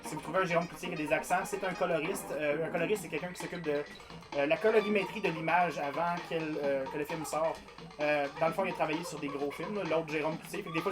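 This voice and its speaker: A man with a Quebec French accent co-hosting a game livestream, chatting casually and joking with lots of swearing and restarts. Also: a little high. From Canada. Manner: animated, profane, rambling with restarts. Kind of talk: casual joking chat while playing. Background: game music.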